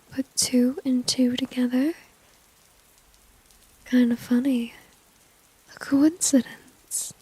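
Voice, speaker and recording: A woman acting a confident, flirty, dominant villain in an ASMR roleplay, whispering softly and teasingly with smug self-assurance. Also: fairly high pitch, no echo, heavily acted, poor audio. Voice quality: breathy, soft whisper. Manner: slow, teasing, smug, coaxing.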